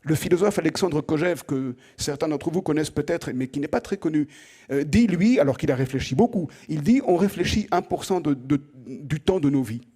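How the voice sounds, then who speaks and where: A man's voice: fairly high pitch, animated, a little fast, dark tone, breathy edge. A middle-aged French man giving an opening lecture to students in a conversational way, often asking the room direct questions and repeating words as he thinks. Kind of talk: lively spoken lecture to students.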